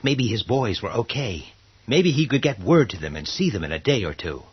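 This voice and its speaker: A man's voice: fairly low pitch, big pitch swings, normal speed, breathy edge, dry recording. A man narrating a scripted horror story for a radio drama in a dramatic manner. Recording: muffled lo-fi track under loud music.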